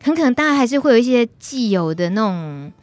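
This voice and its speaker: A woman's voice: fairly high pitch, big pitch swings, quick pace, darkish tone, no echo. A woman with a Taiwanese Mandarin accent hosting a radio show in a casual, conversational interviewing style. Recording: music playing behind the voice.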